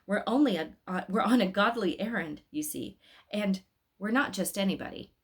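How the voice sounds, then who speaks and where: An eager little angel boy from a storybook, played by a woman reading aloud. The voice lifts and swoops in lively fashion, chatty and a touch self-important. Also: medium pitch, moderate pace, breathy edge.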